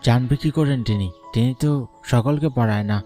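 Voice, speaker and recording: A man's voice: mid-range voice, fairly quick, mellow, close to an everyday voice. A man playing a school peon in a Bengali cartoon, explaining and persuading like an uncle to students. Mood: persuasive. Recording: background music under the voice.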